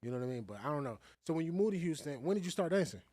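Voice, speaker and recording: A man's voice: on the high side, big pitch swings, racing, darkish tone, dry recording. A man with a Maryland accent from the DC area, hosting a casual interview in slangy, profanity-laced talk. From Landover, Maryland, United States. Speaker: podcast host.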